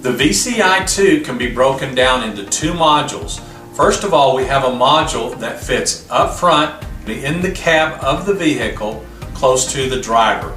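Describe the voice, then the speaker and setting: A man's voice: mid-range voice, lively intonation, normal speed, a bit bright. A man giving a plainspoken, step-by-step product demonstration, often posing a question and answering it himself. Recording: roomy, distant mic, music behind.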